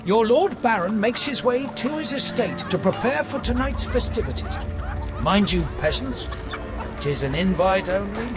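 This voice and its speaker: A man playing a pompous, scornful herald who proclaims to peasants in a dark fantasy audiodrama. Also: high voice, lively intonation, moderate pace. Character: haughty male herald of a lord, scornful. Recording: music behind the voice.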